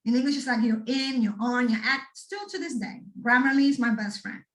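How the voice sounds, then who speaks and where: Woman giving an animated talk. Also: sits high, brisk. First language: Spanish. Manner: animated, addresses the audience directly with frequent "right?". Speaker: speaker at a student workshop. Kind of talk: motivational talk to students. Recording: echoey room, mic far off.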